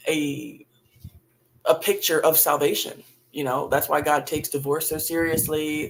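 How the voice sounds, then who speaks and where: A woman with a raspy voice talking casually and reflectively on a livestream while grieving, in halting phrases that sometimes trail off. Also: deep voice, a little hiss or hum, rough recording. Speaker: livestream host. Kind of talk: casual, reflective livestream talk about faith and grief.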